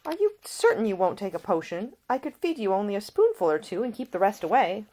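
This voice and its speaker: A woman's voice: medium pitch, big pitch swings, fairly quick, a little mellow, voice pushed up. A woman voicing a caring, earnest and proper young woman in a storybook, solicitous and polite. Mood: worried, coaxing.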